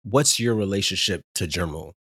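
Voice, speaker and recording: A man hosting a podcast, joking and telling stories in a lively, laughing way. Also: low-pitched, moderate pace, breathy edge, no room sound, clean studio sound. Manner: animated, joking, laughing storyteller. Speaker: podcast host. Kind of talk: casual podcast chat.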